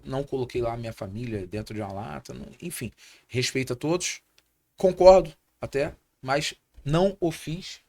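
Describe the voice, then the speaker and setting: A man's voice: mid-range voice, gravelly. A man in his thirties with a Brazilian Portuguese accent, a pastor speaking as a podcast guest in a didactic, sermon-like way, repeating key points for emphasis. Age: 30s. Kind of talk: podcast interview with sermon-like teaching.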